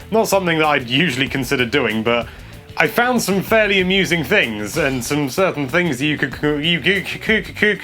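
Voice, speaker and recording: A young man hosting a casual gameplay commentary, chatty and excitable, stumbling over his words and laughing a lot. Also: fairly high pitch. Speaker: games journalist host. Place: small indoor room.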